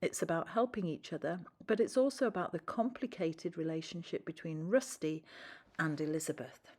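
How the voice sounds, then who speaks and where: A woman's voice: fairly low pitch, normal speed, darkish tone. A woman storyteller reading a children's story expressively and talking to young listeners.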